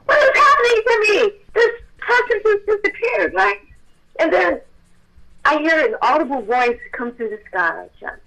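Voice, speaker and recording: An actress with a childlike voice telling a personal story with feeling, at times close to shouting. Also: female voice, very high-pitched, moderate pace, poor audio. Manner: animated, swells to near shouting at intense moments. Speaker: actress telling her testimony.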